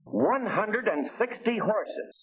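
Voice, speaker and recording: A man's voice: sits high, animated, slow, breathy edge, full character voice. An actor playing an enthusiastic car salesman in a polished, declamatory 1940s promotional film narration. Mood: boastful, enthusiastic. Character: enthusiastic male car salesman showing off luxury models. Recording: tinny, noisy 1940 film soundtrack.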